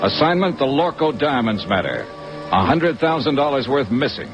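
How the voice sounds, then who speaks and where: An actor playing a wry, hard-boiled American investigator in an old radio drama, clipped and sardonic. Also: male voice, medium pitch, big pitch swings, normal speed, music in the background, lightly acted. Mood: wry narration. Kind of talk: 1950s radio drama narration and dialogue. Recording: muffled old radio recording.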